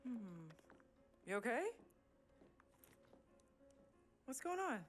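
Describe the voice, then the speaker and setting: A woman's voice: on the high side, sing-song, measured pace. An American woman playing a devoted, soothing young girlfriend in a video game cutscene. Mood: caring. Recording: music behind the voice.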